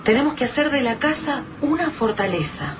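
An actress playing an anguished but determined survivor in a radio drama, emotional, with an Argentine accent. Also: female voice, sits high, expressive, normal speed. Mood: cautious, firm. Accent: Argentine, Rioplatense. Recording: radio drama with noisy background.

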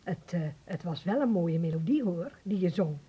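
An actress playing a gentle, wry, philosophical aunt in a Dutch radio play, musing and soothing. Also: female voice, fairly low pitch, animated, moderate pace, husky, lo-fi sound.

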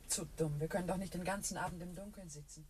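An actress playing a professor's young daughter in a German radio play, anxious, helpful and expressive, often questioning. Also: female voice, lowish voice, fast, lightly acted. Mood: annoyed, anxious.

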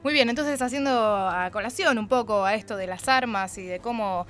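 A woman's voice: fairly high pitch, fairly quick, fairly bright tone, faint music behind. A woman co-hosting a radio programme, talking casually as she discusses and introduces items. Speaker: radio co-host.

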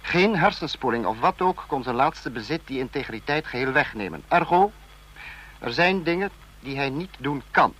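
An actor playing a cold, authoritarian state leader in an old radio play, speaking in a clipped, lecturing, imperious manner. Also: male voice, medium pitch, expressive, normal speed, a bit bright. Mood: coldly reasoning. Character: cold authoritarian male supreme leader of a fictional police state.

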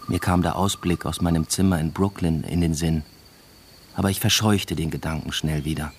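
A man's voice: deep voice, darkish tone, slight background noise, lightly acted. An actor playing a brooding academic father who narrates his own story in a radio drama, subdued and introspective. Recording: music bed behind the voice.

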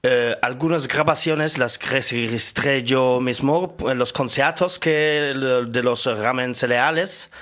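An actor playing a rambling German male researcher who speaks with a German accent, hesitating and filling his pauses with sounds. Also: mid-range voice, a bit bright. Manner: hesitant, full of filler sounds. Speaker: interview guest.

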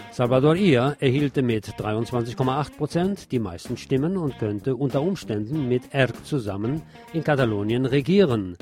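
A man's voice: medium pitch, dark tone. A man presenting radio news, reading scripted reports and adding brief asides of his own.

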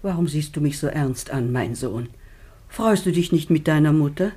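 An actress playing an impassioned, tender and anxious mother in a classic German radio drama, breathy and declamatory. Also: low voice, animated, moderate pace, mellow. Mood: joyful, insistent. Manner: emotive, declamatory.

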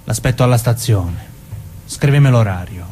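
An actor with a southern Italian accent playing a pushy, vengeful mafia husband, husky-voiced, needling and colloquial in a stage drama. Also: male voice, fairly low pitch, lively intonation, normal speed, darkish tone, a little hiss or hum, natural voice. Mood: wheedling, pushy.

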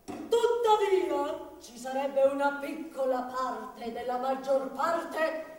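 A woman's voice: high voice, animated, slow, a little mellow. A woman playing a pompous, imperious master who thinks himself learned, declaiming in a stage comedy. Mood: pompous pondering. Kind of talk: commedia dell'arte stage comedy. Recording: echoey hall, distant microphone.

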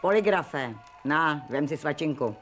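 A homely housemaid talks in a plain, colloquial and bossy way, with care beneath the scolding. It is a radio drama, with music clearly heard behind her. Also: low-pitched, animated. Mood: scolding, motherly. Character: plain-spoken, bossy but caring female house servant.

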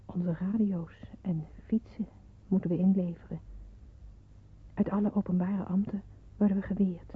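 An actress playing a grave young woman narrating a radio drama in the first person, hushed and measured. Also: medium pitch, fairly even intonation. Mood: grave, somber. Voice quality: very breathy, hushed. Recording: muffled phone-like old radio recording.

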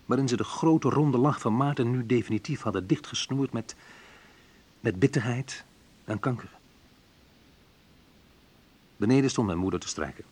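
A man's voice: medium pitch, normal speed, pauses often, darkish tone, slightly rough, natural voice. An actor playing a wry, reflective man who narrates memories of his youth in an intimate radio drama, confiding and a little hesitant. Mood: somber, bitter. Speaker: narrator and main character of a radio play.

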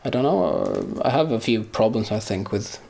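A man's voice: on the low side, wildly swooping pitch, normal speed, creaky, darkish tone. A man whose first language is Swedish, a musician giving casual, reflective interview answers as he searches for his words. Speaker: musician guest.

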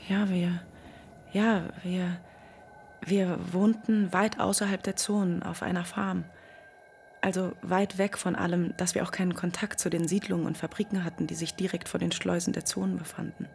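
A woman playing the last living person, calmly narrating memories of her childhood on a farm. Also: lowish voice, vocal fry, slight background noise. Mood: reflective. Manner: thoughtful, measured. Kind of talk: reflective narration.

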